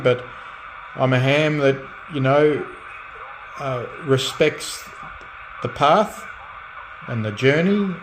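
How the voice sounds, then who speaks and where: Radio static and noise sit clearly behind a middle-aged man with a mid-pitched voice. He chats in a relaxed, unscripted way as a hobbyist, feeling his way through sentences and often starting them again. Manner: halting, reflective, often restarting phrases. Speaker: radio hobbyist and restorer presenting own channel. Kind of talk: relaxed off-the-cuff chat.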